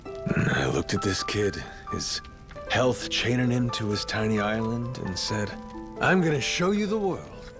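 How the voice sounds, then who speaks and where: Cocky gangster, wildly swooping voice. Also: male voice, on the low side, sing-song, a little slow, over music. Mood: earnest, insistent. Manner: cocky, profane, slangy drawl. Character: brash, playful, rough-talking Yakuza man who lost his memory. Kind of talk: video game cutscene acting.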